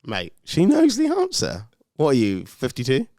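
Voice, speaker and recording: A man's voice: medium pitch, wildly swooping pitch, moderate pace, warm tone, no echo. Dry-recorded man, teasing banter. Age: around 40. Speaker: podcast co-host. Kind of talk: casual podcast banter.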